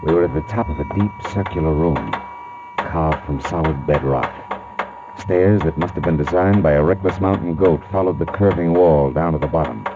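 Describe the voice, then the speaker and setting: Deep-voiced, hardboiled American private eye. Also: male voice, moderate pace. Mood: grim, wry narration. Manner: wisecracking, slangy. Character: wry, tough male private detective narrating his case. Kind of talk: 1940s radio acting, hardboiled detective narration. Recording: dull vintage radio recording, music behind.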